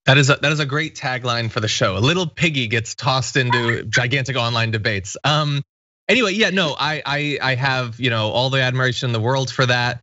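Casting: American male host, thirties, crisp forceful delivery, mid pitch, close dry studio sound. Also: fairly quick, no room sound, lo-fi sound. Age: 30s. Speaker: show host. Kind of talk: casual interview hosting.

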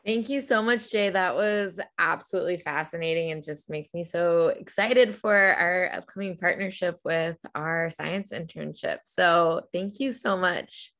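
A woman's voice: on the low side, a little slow, hardly any pauses, a bit bright. A woman hosting a webinar over a video call, thanking the speaker and calling on people for questions. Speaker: event host. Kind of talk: moderating a webinar.

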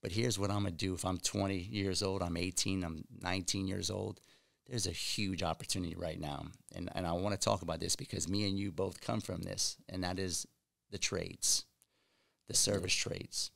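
Low gravelly man, emphatic. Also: little pitch movement, a bit bright. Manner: emphatic, repeats himself, fast bursts. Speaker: podcast host. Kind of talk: casual conversation. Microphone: boom mic on stand.

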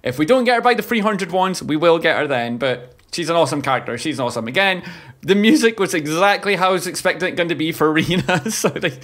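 A man's voice: fairly high pitch, fast. A man, giving casual, exclamatory reaction commentary on a close mic at home. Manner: animated, exclamatory, repetitive. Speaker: reaction video host. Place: small home streaming room. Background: music from the watched video.

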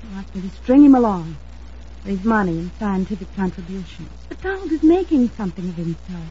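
A woman's voice: medium pitch, very animated, brisk, mellow, a little hiss or hum, natural voice. An actress playing an anxious, insistent young woman in an old-time radio drama, speaking in an earnest, emphatic, dramatic manner. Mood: bitter, worried. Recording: dull lo-fi vintage radio recording.

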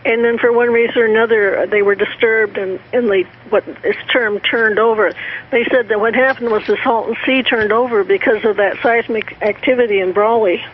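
Female talk-show panelist, tinny narrow phone sound with faint static, halting explanatory delivery. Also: fairly high pitch, fairly even intonation. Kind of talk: panel discussion, explaining news reports. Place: remote call into radio show.

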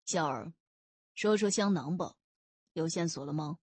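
A woman voicing a measured, authoritative older man, a father, in an audiobook. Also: deep voice, a little mellow, slightly rough, dry recording, voice pushed down. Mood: calm, modest. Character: older, sober, authoritative former guardsman and father.

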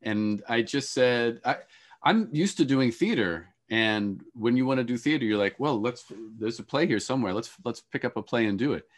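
A middle-aged man hosting a panel on a video call in a conversational, halting way with repeated starts. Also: mid-range voice, expressive. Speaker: panel host, moderator. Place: room with shelves of boxes. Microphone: video call, earphones.

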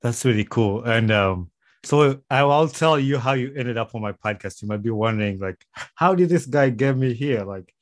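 A man's voice: mid-range voice, animated, moderate pace, dry recording. A man with a Ugandan accent hosting a podcast in a casual, conversational interview style, speaking close to a studio microphone. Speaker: podcast host. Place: small home studio, indoors. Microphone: close podcast microphone on boom arm.

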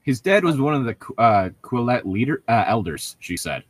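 A man's voice: medium pitch, very animated, moderate pace, no room sound. A man casually joking with friends and reading a novel aloud as a podcast host on a voice call. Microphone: close mic on an online voice call.

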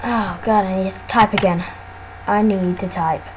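A woman casually commenting on her gameplay, excitable and often exclaiming. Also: mid-range voice, moderate pace, noisy background.

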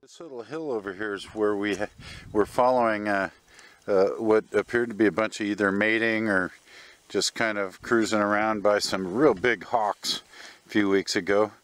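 An older man with a fairly low voice talks casually as he hikes an open hillside trail. His delivery is halting and musing, as if thinking aloud. He is recorded outdoors on a handheld camera. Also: fairly even intonation, fairly bright tone. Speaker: hiker narrating a trail video.

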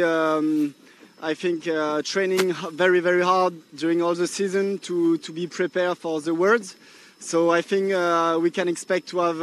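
A young man with a French accent, interviewed on a boat at sea after a race, speaking in a halting way with many fillers and restarts. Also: high voice. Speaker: windsurfer being interviewed. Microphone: handheld microphone.

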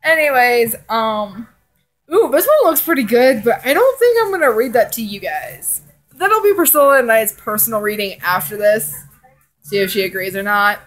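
A woman's voice: fairly high pitch, animated, normal speed, soft background music, poor audio. A young woman vlogger chatting casually and reading fan fiction in a rambling, giggly way. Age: young adult. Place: living room indoors.

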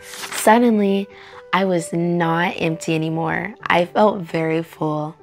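A young adult woman hosting a children's read-aloud, narrating in an animated, clearly enunciated way. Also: fairly low pitch, takes it slowly. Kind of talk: expressive storybook narration for children. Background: soft music.

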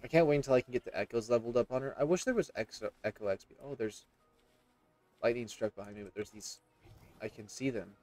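A man's voice: mid-range voice, quick pace, a little mellow. A man in his thirties giving casual, rambling commentary as he plays a game, reacting and reading text aloud. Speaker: gameplay streamer. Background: game music.

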